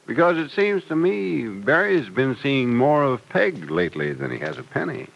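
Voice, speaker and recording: A man's voice: mid-range voice, big pitch swings, normal speed, gravelly, poor audio. An American actor playing a wry, stubborn, suspicious man of about sixty in an old-time radio serial, with folksy phrasing. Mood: skeptical. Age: older. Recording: vintage radio recording.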